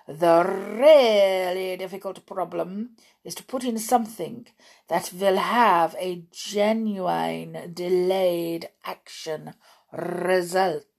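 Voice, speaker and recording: A woman voicing a ruthless, vain female witch leader, giving orders in slow, emphatic, theatrical pronouncements in a storybook reading. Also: fairly low pitch, fairly even intonation, gravelly, a little mellow, exaggerated voice. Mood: scheming. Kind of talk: audiobook character reading.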